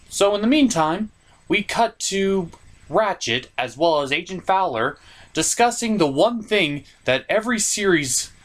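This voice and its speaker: A man hosting a puppet review show, delivering animated recap commentary in a theatrical, emphatic showman style with drawn-out words. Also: a little high.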